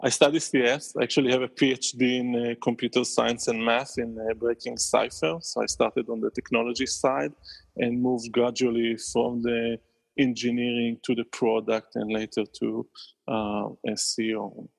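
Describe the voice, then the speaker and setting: A man with an Israeli accent, a company founder, answering questions in a podcast interview with thoughtful, halting replies and frequent laughs. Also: medium pitch, flat delivery, creaky. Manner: thoughtful, many restarts, laughs often.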